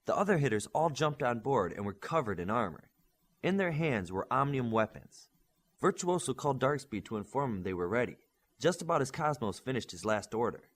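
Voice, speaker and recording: A man narrates an action audiobook in a scripted storytelling style. His voice has an average male pitch, and it moves around a lot in melody from phrase to phrase. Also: very animated, brisk. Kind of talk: scripted narration of a superhero novel.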